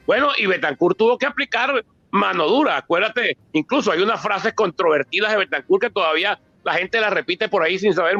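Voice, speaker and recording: A man's voice: high voice, bright, forceful tone, no echo, lo-fi sound. A Venezuelan man giving an extemporaneous talk on history, emphatic and anecdotal, repeating phrases as he builds his account. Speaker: author and politician speaking at a book presentation.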